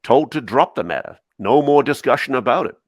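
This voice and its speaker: A man doing a semi-dramatic cold reading as a guarded navy admiral, in clipped, halting, deliberate phrases. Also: medium pitch, expressive, dry recording, clean studio sound, pitched above the performer's own voice. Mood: guarded, firm. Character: guarded, terse male navy admiral recounting secrets.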